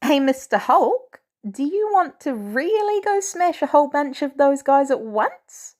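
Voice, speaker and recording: A woman's voice: high-pitched, very animated, measured pace, darkish tone, pitched above the performer's own voice. A woman voicing an enthusiastic, polite young superhero boy in a fan fiction story. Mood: eager, enthusiastic.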